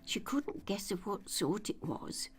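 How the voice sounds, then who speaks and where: An older woman reading a children's story aloud as an expressive audiobook narrator. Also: mid-range voice, a little slow, breathy edge.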